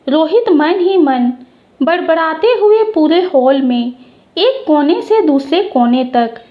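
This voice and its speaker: High-pitched woman storyteller, animated. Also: highs cut off. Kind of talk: expressive scripted story narration.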